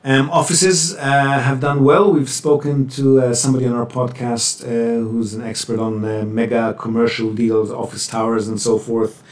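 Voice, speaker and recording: A man with a mid-pitched voice fields questions as a webinar presenter. He explains things in a measured way, pausing as he goes. The sound is echoey and slightly distant from the microphone. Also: flat delivery. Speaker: webinar presenter, property buyer's agent. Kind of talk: answering audience questions in a webinar. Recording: roomy echo, distant microphone.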